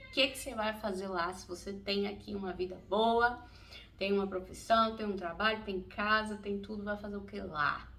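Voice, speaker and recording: A middle-aged woman from Brazil recounts her story in a relaxed, talkative way, often breaking into laughter. Her voice sits at an ordinary middle pitch. The mic is a little distant, with a faint ambient hiss behind her. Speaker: vlogger. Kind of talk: casual storytelling vlog.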